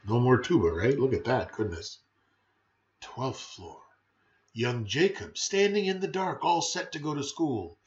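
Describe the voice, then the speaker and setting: A man's voice: medium pitch, animated, unhurried, dull audio. A man reading a children's rhyming picture book aloud in a theatrical, playful, emphatic way with brief chatty asides.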